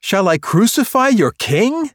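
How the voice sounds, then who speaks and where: A man's voice: sits high, very animated, measured pace, a bit bright, no room sound, clean studio sound, pitched above the performer's own voice, exaggerated voice. A man voicing the Roman governor Pilate in a Bible reading, speaking in a strained, raised, declaiming way. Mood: strained, imperious.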